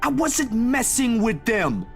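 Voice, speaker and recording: A man playing a brash, high-voiced young guy. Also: sing-song, slow pace, soft background music, full character voice. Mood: heated. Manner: indignant, swearing, with casual slang. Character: defensive young man, brash and quick-tempered.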